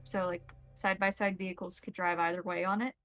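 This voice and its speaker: A woman's voice: fairly low pitch, little pitch movement, fairly quick, a bit bright, soft background music. A woman acting as game master, explaining and describing scenes in detail for a tabletop role-playing game.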